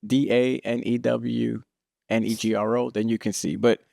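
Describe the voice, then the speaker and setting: A man, co-hosting a podcast in casual, animated chat. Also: medium pitch, normal speed, vocal fry, dry recording, studio quality. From Brooklyn, New York. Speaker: podcast co-host.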